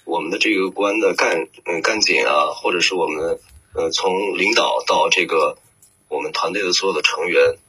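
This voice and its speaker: A man's voice: low-pitched, normal speed, bright, forceful tone, husky, low-quality recording. A man working as a voice actor, giving reflective interview answers and hesitantly searching for words. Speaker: voice actor, guest.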